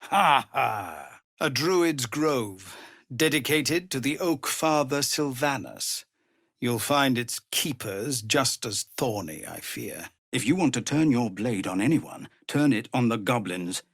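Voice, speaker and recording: A noble, worn-down male leader of refugees for a video game. His voice is husky and grave, gravelly when strained, and recorded very dry. He speaks in a measured, formal way with an expressive, widely moving pitch. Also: no echo, exaggerated voice. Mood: solemn, frank. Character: weary, honourable male leader of embattled refugees. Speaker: video game character actor. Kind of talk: dramatic game dialogue.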